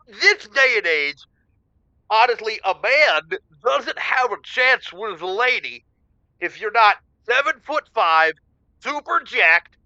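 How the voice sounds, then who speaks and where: A self-important alpha male calling a comedy radio show. His voice is high and very sharp, and he lectures with an aggrieved air. The sound is slightly muffled. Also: no room sound, full character voice. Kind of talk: comedic radio call-in acting.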